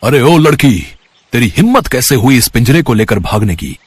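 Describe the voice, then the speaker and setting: A man's voice: mid-range voice, sing-song, moderate pace, breathy edge, no echo, voice pushed down. A man voicing a boastful, menacing evil sorcerer who barks threats and commands in a children's story.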